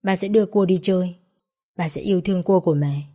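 A woman voicing a grieving young mother who talks softly and pleads close to tears. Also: fairly low pitch, quick pace, natural voice. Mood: soothing. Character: grieving young mother at her husband's bedside.

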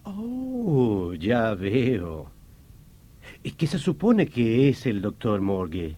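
Radio drama inspector, thirties: skeptical but courteous, unhurried delivery with lively, expressive intonation. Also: male voice, fairly low pitch, heavily acted. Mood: curious. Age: 30s. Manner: measured, questioning.

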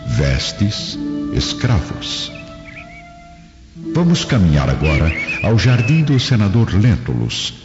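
A man delivering solemn, scripted narration for a radio drama. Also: low-pitched. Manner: solemn storytelling. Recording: muffled, echoey reverb, music behind.

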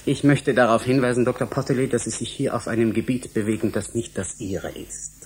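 An actor in a German radio drama playing a cautious, orthodox physicist, husky-voiced, speaking in a formal, precise and defensive way. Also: male voice, mid-range voice, fairly even intonation, a little fast, darkish tone, slight background noise, close to an everyday voice. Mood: dismissive, firm.

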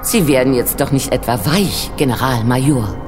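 Very low-voiced, condescending crime broker. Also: female voice. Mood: veiled threat. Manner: measured, smooth-talking, patronising. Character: cool, condescending female crime broker, quietly threatening. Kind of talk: German audio drama acting. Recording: music playing behind the voice.

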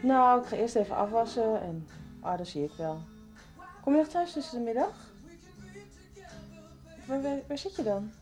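An easygoing young woman played by an actress in a radio soap, drowsy and casual. Her highish voice moves freely up and down, with music playing clearly behind her. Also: expressive, normal speed, long pauses, a little mellow, lightly acted. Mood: relaxed, sleepy. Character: sleepy, easygoing young woman at home on the phone.

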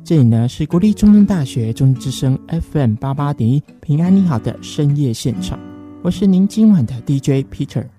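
A male late-night radio DJ with a Taiwanese Mandarin accent. His voice is very mellow and dark, a little high for a man, over faint guitar music. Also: expressive, normal speed. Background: soft guitar music.

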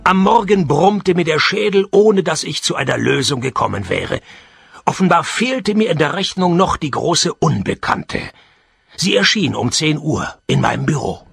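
An actor playing a jaded middle-aged private detective in a radio play, husky and deadpan. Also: male voice, a little high, moderate pace, full character voice. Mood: brooding, dry. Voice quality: husky, gravelly. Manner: deadpan, sardonic. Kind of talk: radio play, dry noir narration and dialogue.

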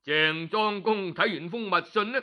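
A man's voice: sits high, unhurried, muffled recording. A man telling a historical tale in Cantonese, in a theatrical traditional storytelling style that addresses listeners directly. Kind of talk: dramatic traditional oral storytelling.

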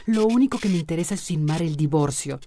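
An independent woman worn by a divorce in a Latin American radio soap. Her voice sits on the low side for a woman. There is clear background noise around her. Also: animated, quick pace, mellow. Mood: exasperated. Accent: Latin American Spanish. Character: Amanda, independent, distressed woman going through divorce. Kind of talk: radio soap opera acting.

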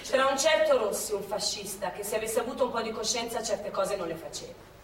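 A woman's voice: a little high, expressive, brisk, music in the background, lightly acted. An actress playing a chatty old woman. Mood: grave. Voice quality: conversational, repeats herself for effect. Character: elderly Milanese woman sheltering partisans, chatty. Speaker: actress in a stage play. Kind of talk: theatrical monologue, recorded live. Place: theatre stage. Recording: echoey theatre stage, mic far off.

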